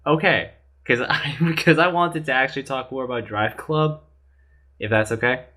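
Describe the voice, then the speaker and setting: Bright-voiced man in casual game chat. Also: mid-range voice, normal speed. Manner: earnest, rambling with restarts. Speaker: podcast panelist.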